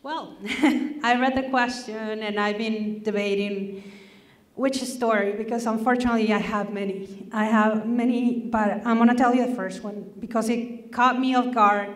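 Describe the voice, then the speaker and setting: A woman in her forties from Mexico with a Mexican Spanish accent, telling a personal story earnestly and deliberately as a panelist on a stage. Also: on the high side, steady tone. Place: large auditorium stage. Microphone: table microphone on stand. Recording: roomy hall, mic somewhat distant.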